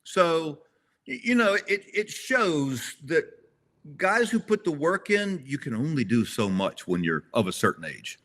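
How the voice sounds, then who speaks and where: A man's voice: on the high side, sing-song, unhurried, a bit bright. A man in his sixties talking as an interview guest, rambling a little with restarts and self-corrections. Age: 60s. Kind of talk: casual conversational interview.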